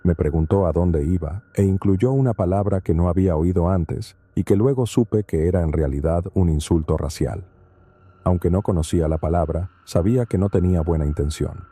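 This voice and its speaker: Male narrator, low and very dark voice, steady measured read for a horror story channel. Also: husky, no echo. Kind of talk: scripted first-person narration.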